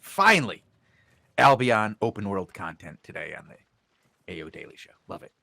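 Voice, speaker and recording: Excitable guy co-casting a game fight, voice bounces around a lot, headset. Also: normal speed, creaky, no room sound. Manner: excitable, pitch jumps on big moments. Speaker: esports co-caster. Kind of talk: live color commentary.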